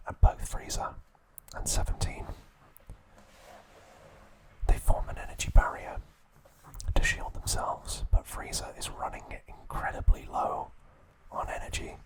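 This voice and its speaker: A man hosting an ASMR video, recapping a story in a soft, unvoiced whisper. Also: low-quality recording. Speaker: ASMR host.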